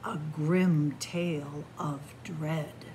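A middle-aged woman reading a picture book aloud to children in an animated, deliberate storytelling manner. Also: sits low, background noise. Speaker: library story-time reader. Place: indoors in a library among bookshelves.

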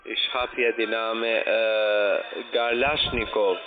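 A man's voice: fairly low pitch, level tone, slow. A man with a foreign accent, a non-native German speaker, talking as a call centre agent on a sales call. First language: not German. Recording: tinny phone line with music.

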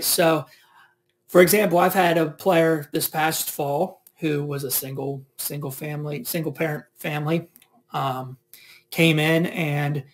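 A man in his thirties, a college soccer coach, giving candid, reflective interview answers over a video call from home. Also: on the high side. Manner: candid, reflective, uses fillers. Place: home room, indoors. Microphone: video call webcam.

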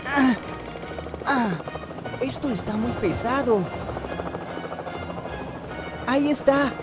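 A woman voicing a cheerful, eager young boy train engine in a children's cartoon dub, with a Latin American accent and clear, expressive delivery. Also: sits high, very slow, over loud music. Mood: determined.

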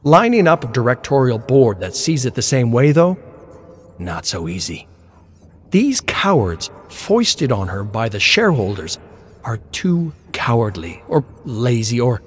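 Male narrator for dramatic scripted fiction, mid-range pitch.

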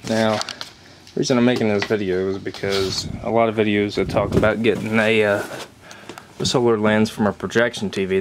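A man's voice: lowish voice, a little hiss or hum. A young man with a nasal voice, chatting casually as he shows a hands-on project outdoors on a porch, filmed on a handheld camera. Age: young adult. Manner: casual and chatty, explaining hands-on while handling gear. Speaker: DIY video maker. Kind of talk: casual how-to vlog. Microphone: handheld camera mic.

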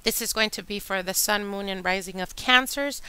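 A woman reading tarot cards for her channel, chatty and addressing viewers directly, her voice a little hoarse from a long day. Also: medium pitch, normal speed, no echo. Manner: chatty, warm address to viewers, whispers during shuffling. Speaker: tarot reader. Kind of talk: casual tarot reading monologue. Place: indoor table with candles and decor.

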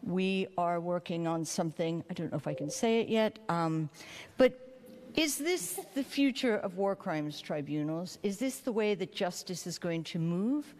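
A woman's voice: lowish voice, a little slow, a little hiss or hum. Chatty woman moderator in a reverberant hall. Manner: lively, chatty, joking asides. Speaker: panel moderator. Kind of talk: conference panel moderation. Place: large conference hall with audience. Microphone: handheld microphone.

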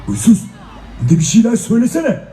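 A man's voice: very high-pitched, huge pitch swings, a little fast, a faint crowd, exaggerated voice. A man playing a cheerful, hungry comic friend in a children's stage musical, coaxing and chatty. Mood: cheerful, pleading. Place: children's show stage in a shopping mall. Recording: roomy stage sound, loud guitar music behind.

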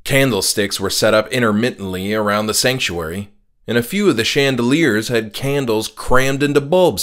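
Slow, dramatic male horror narrator. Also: mid-range voice, a bit bright. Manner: measured, dramatic storytelling. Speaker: author reading his own novella. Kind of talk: scripted horror audiobook narration.

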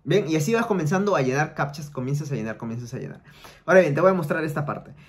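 A man's voice: fairly high pitch, expressive, fast talker. Chatty young man explaining step by step. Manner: chatty, explains step by step with frequent filler tags. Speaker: online money tutorial host. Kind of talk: casual screen-share tutorial. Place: small room at home, indoors.

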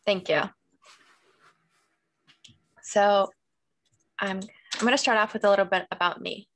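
A woman's voice: mid-range voice, steady tone, unhurried, a bit bright. A young woman teaching the Russian alphabet on a video call, friendly and laughing easily. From Kyrgyzstan (family). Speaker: student language presenter. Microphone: video call laptop mic.

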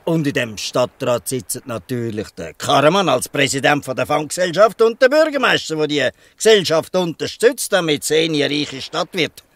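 An actor with a Swiss German accent playing a weary, good-natured old fisherman who explains things haltingly in a dialect audio drama. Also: a little high, expressive, fairly bright tone, no room sound. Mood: explaining, resigned.

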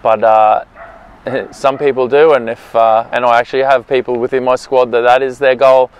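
Clear-voiced coach, thoughtful. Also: male voice, mid-range voice, level tone, moderate pace, poor audio. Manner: thoughtful, many uhs. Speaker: triathlon coach. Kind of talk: interview explanation. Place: outdoors beside a swimming pool. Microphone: lapel.

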